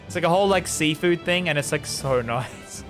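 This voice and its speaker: A man's voice: fairly high pitch, moderate pace, fairly bright tone. A man giving casual, chatty game commentary on a live stream, rambling and easily amused. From Australia. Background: game music.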